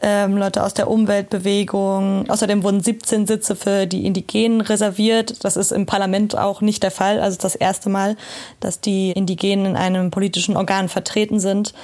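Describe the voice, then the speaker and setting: A woman's voice: medium pitch, flat delivery, normal speed, darkish tone. A woman guest speaking fluently and in detail, explaining things with occasional hesitations. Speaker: guest expert. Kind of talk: explanatory conversation.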